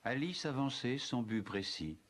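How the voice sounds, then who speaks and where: A man narrating a scripted children's story as the storyteller of an animated film. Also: medium pitch, a little slow.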